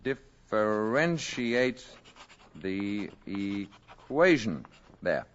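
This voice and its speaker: An actor playing a calm, gentle, learned visitor from space in a 1950s radio drama, speaking in a measured, polite and precise way. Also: male voice, lowish voice, a little hiss or hum, heavily acted. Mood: calm, assured. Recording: muffled 1950s radio broadcast recording.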